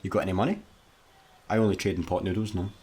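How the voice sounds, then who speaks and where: An actor with a Scottish accent playing a scruffy, childlike slacker spaceman in a sci-fi comedy radio play, excitable, flippant and given to grumbling. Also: deep voice, fast talker, slightly rough.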